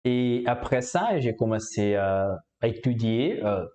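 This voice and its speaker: A man's voice: medium pitch, lively intonation, a little slow, warm tone. A man from Brazil speaking French with a Brazilian Portuguese accent, explaining how he learned the language in a hesitant way with many fillers and repeated words. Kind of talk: casual explanatory talk.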